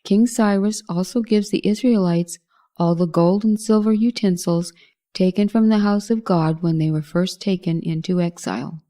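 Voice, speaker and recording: Very mellow woman narrator. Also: mid-range voice. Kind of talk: scripted Bible history narration.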